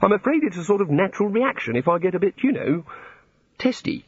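A man performs a fussy old god in a comic storybook, eager and apologetic yet easily vexed. The voice sits high and swoops about as it dithers through flustered rambles, on a slightly muffled recording. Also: very animated, brisk, husky, no room sound, exaggerated voice. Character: fretful, apologetic, testy bearded old god, eagerly curious.

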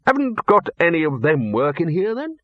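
A man reading an audiobook voices a gruff, blunt old male wizard chief who barks short phrases. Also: fairly high pitch, huge pitch swings, normal speed, dry recording, muffled recording, voice pushed up. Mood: blustering. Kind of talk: audiobook character voice.

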